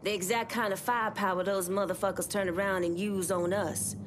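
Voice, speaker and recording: An actress playing a composed, knowing Vodou shopkeeper who leads an underground resistance in a video game, speaking in a measured, conspiratorial way. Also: female voice, medium pitch, fairly even intonation, noisy background, close to an everyday voice. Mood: warm, resolute. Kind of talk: video game character dialogue.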